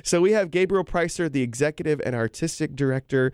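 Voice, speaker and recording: A man co-hosting a casual chat show, his voice hoarse from a cold, talking in a chatty, joking way with frequent fillers. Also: fairly high pitch, fast, darkish tone, no room sound, studio quality. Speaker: co-host.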